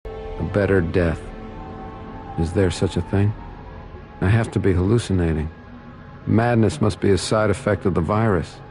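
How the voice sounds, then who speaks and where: Grim weary game soldier, deep gravelly male voice, brooding inner monologue, music behind. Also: normal speed, pauses often, a little mellow. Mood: grim, resolute. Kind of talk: dramatic video game narration.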